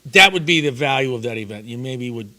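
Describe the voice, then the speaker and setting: A man, a radio play-by-play broadcaster talking sports as a guest, measured and analytical. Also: mid-range voice, expressive, bright, forceful tone. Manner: measured, analytical, lists points. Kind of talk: casual sports talk.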